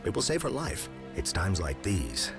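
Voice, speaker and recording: A man playing a composed, benevolent gentleman detective in a game cutscene, speaking in a refined, measured manner. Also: sits low, wildly swooping pitch, over music, full character voice. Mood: satisfied. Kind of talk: video game cutscene acting.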